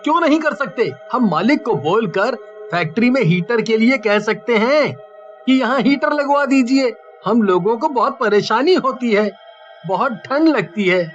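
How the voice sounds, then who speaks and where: An earnest factory worker, acted by a man in a cartoon, speaks politely in a strikingly high voice. The recording is muffled, with soft music underneath. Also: animated, unhurried. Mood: earnest request. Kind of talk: Hindi cartoon voice acting. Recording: dull lo-fi track with music.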